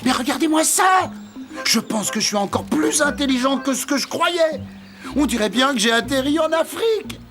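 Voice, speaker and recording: A vain male beetle for an animated tale. He is theatrical and self-important, speaking in grand courtly phrases. His pitch swings animatedly, with music faintly behind him. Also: moderate pace. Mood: self-satisfied. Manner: pompous, theatrical, flowery courtly speech. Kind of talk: animated cartoon voice acting.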